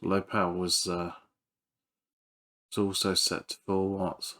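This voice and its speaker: A man's voice: low voice, level tone, takes it slowly, fairly bright tone. A man giving casual, hands-on repair commentary as he works, hesitant and thinking aloud. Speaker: electronics repairer presenting a video.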